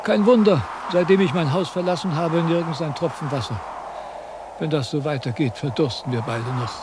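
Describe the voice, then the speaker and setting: An older actor playing a vain, grumbling old wandering philosopher in a radio drama, declaiming theatrically and questioning himself. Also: male voice, a little high, moderate pace, lightly acted. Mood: weary, calling out. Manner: declamatory, self-questioning, cantankerous. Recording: noisy 1970s radio drama with sound effects.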